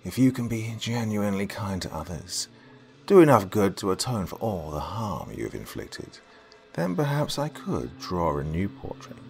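A man's voice: fairly low pitch, sing-song, moderate pace, gravelly. A man playing a wicked, mocking carnival artist. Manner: mocking, stern, theatrical.

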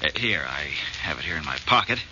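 A man's voice: extremely low pitch, expressive, quick pace. An American man playing an anxious, polite but stubborn pawnbroker in a 1940s radio mystery, hesitant and earnest. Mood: earnest, worried. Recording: muffled, noisy old-time radio recording.

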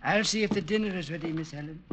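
A man's voice: a little high, fast, a bit bright, highs cut off, a little hiss or hum, natural voice. An actor playing a prissy, deferential butler in an old radio drama, fussy and easily flustered in his manner. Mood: polite, fluttery. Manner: fussy, polite, fluttery. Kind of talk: 1950s radio comedy-drama acting.

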